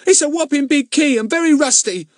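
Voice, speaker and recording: A man's voice: extremely high pitch, fairly quick, a little mellow, dry recording, clean studio sound, pitched above the performer's own voice. A man doing a cheeky, panting young boy. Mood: indignant. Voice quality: out of breath.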